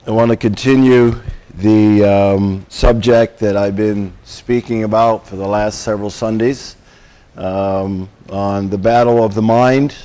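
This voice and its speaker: Man, preacher, sermon, hoarse from a cold, croaky, slow, halting, throat clearing. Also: on the low side, fairly even intonation, dark tone.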